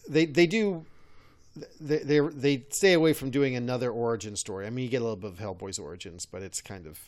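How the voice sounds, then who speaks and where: Halting male podcast co-host, mid-pitched. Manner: halting, self-correcting, repeats words. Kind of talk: casual unscripted chat.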